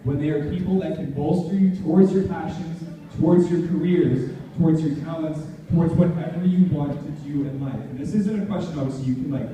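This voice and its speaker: A young man speaks in a high voice, delivering an emphatic, informal motivational speech. The sound is heavily echoey and captured from far off, as in a large hall. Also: a little fast, hardly any pauses, warm tone. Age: young adult. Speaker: motivational speaker at a student leadership conference. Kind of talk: motivational talk to a live audience. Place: large indoor conference hall.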